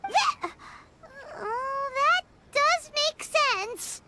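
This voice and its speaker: A woman voicing a tiny, excitable floating fairy companion in a game, with a squeaky, childlike voice and an animated, exclamatory way of talking. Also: very slow, background noise, heavily acted. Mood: chirpy. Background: game music.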